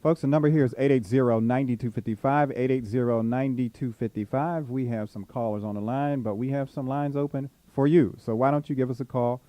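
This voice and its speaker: A man's voice: mid-range voice, fairly even intonation, brisk, hardly any pauses, dark tone, no room sound. A man co-hosting a radio call-in show, announcing the phone number again and again and inviting listeners to call. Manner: repetitive, promotional call-to-action delivery. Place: radio studio.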